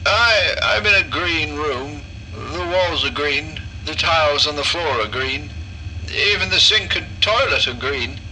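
A man's voice: a little high, expressive, unhurried, pushed and bright, slight background noise, exaggerated voice, rough recording. An actor playing a chatty, nervously jovial male patient with an apple obsession, telling his story theatrically in a horror audio drama. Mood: uneasy. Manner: theatrical, rambling, with nervous chuckles.

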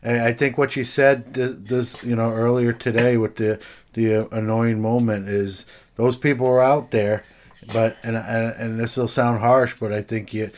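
A man's voice: mid-range voice, level tone, normal speed, darkish tone, slight background noise. A man talking casually and reflectively, in the conversational style of a sports talk show.

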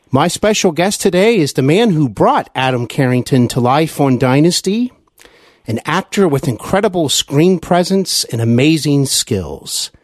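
A man's voice: a little high, a little mellow, no echo, clean studio sound. A man hosting a podcast, effusive and emphatic, delivering introductions and interview talk. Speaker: podcast host.

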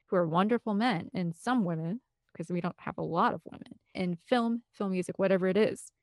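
A woman's voice: medium pitch, darkish tone, no room sound, clean studio sound. A woman speaking as an interview guest, talking candidly and emphatically in a rambling, opinionated way. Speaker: interview guest, film composer and director.

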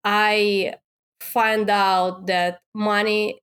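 A woman from Russia with a Russian accent, speaking halting non-native English as a guest in a conversational interview. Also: medium pitch, little pitch movement, fairly bright tone, clear, resonant tone. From Moscow, Russia. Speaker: podcast guest, business coach.

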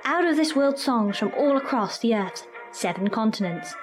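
A woman reads a playful radio promo for her show. Her voice is high and very lively in pitch, with music clearly behind it. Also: moderate pace.

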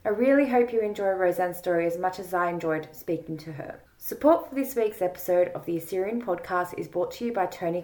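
A woman's voice: on the low side, darkish tone. Female podcast host reading an intro. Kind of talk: scripted intro and interviewing.

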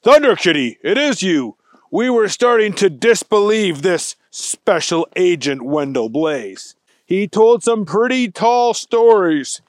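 A man's voice: high voice, very animated, takes it slowly, no room sound, clean studio sound, pitched above the performer's own voice, full character voice. A man voicing an effusive, bureaucratic police chief in a children's story. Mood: relieved.

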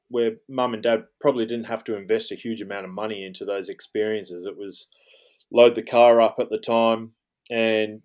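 A man's voice: on the low side, darkish tone. A man around forty with an Australian accent, talking at an easy pace as an interview guest, filling his sentences with "um" and "you know". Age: 40s. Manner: rambling, lots of fillers like um and you know. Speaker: guest, fly fishing guide. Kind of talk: relaxed interview talk.

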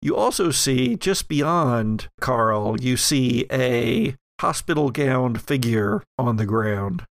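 A man working as game master, narrating a horror tabletop game and thinking aloud in a halting way. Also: medium pitch, lively intonation, gravelly, dry recording, clean studio sound.

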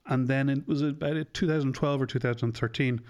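Irish guy in his 40s, chatty doctor on a podcast, mid pitch, self-deprecating. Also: fairly even intonation, fairly quick, darkish tone. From Ireland. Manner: chatty, self-deprecating, thinks aloud with restarts. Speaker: fertility doctor, guest. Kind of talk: casual podcast interview.

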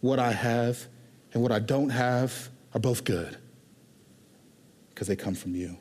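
Pastor preaching in a reverberant hall. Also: male voice, mid-range voice. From Houston, Texas. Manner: conversational and animated, shifting between quick asides and slow emphatic lines. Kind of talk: sermon.

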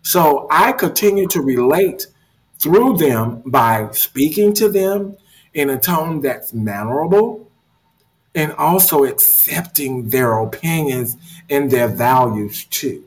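An earnest man leading a relaxed motivational talk. He speaks with animation and often repeats words while he gathers his thoughts, at a middle pitch. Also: takes it slowly, rough recording. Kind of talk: casual motivational discussion.

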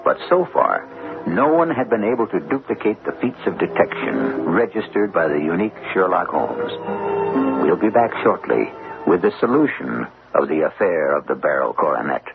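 A man hosting a radio show with formal, measured scripted narration. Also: deep voice, big pitch swings, creaky. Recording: tinny old radio, music behind. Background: music.

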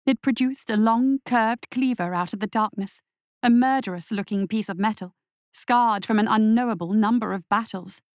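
A woman narrating a dark fantasy story in a scripted, dramatic audiobook reading style. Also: a little high, moderate pace, dry recording, clean studio sound. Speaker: story reader.

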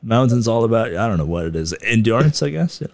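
A man's voice: mid-range voice, big pitch swings, quick pace, gravelly, a little mellow. A man from Darjeeling with a slight Darjeeling accent, chatting casually as a podcast guest, laid-back and laughing often while he acts out quotes. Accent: Darjeeling Nepali. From Darjeeling, India. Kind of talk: casual chat.

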